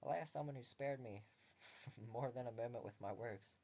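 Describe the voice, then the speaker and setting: A man reading a visual novel aloud and breaking off for crude, joking asides, sometimes muttered quietly. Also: mid-range voice, normal speed, vocal fry, dull audio.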